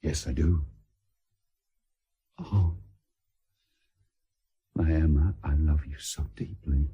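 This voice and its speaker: Devoted naval commander in a radio play, deep and really husky, solemn, measured, courtly. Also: male voice, steady tone, dark tone, exaggerated voice. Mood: solemn, loving. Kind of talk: radio drama acting.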